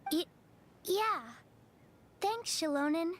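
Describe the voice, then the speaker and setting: A woman's voice: very high voice, slow pace, a bit bright, a little hiss or hum, full character voice. A woman playing a small, frail, timid young girl in a video game, with a hesitant, childlike delivery.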